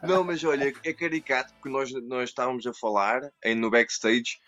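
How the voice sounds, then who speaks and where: A man's voice: medium pitch, a little fast, sharp tone. A man born in Brazil who speaks with a European Portuguese accent, chatting casually as a guest and often restarting his sentences. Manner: rambling, self-correcting. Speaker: podcast guest. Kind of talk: casual chat.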